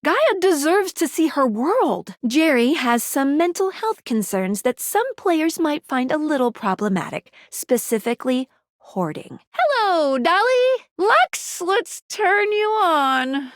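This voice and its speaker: A woman's voice: high-pitched, very animated, measured pace, darkish tone, no room sound, clean studio sound. A woman voicing a perky, quirky young female guide in a dating video game, with a bubbly, playful, theatrical delivery. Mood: bubbly, teasing. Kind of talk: animated video game voice acting.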